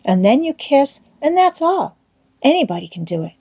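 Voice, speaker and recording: A woman's voice: sits high, big pitch swings, moderate pace, creaky, mellow, voice pushed up, heavily acted. A woman voicing an eager, boastful young schoolboy in love in an audiobook, with folksy old-fashioned rural American wording and a wheedling manner. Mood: coaxing. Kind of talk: audiobook character voice.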